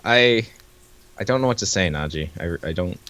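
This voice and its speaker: A man with a fairly low voice and lively, swinging intonation, recorded close and dry. He chats casually as a co-host reacting to a reading. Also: moderate pace, clear, resonant tone, no echo. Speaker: co-host of a comedic reading show.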